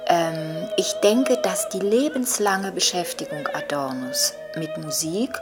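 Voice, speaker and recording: A woman's voice: fairly low pitch, slow, over loud music. An older woman giving reflective scholarly interview commentary, hesitantly searching for precise words. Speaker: writer and commentator interviewed on Adorno.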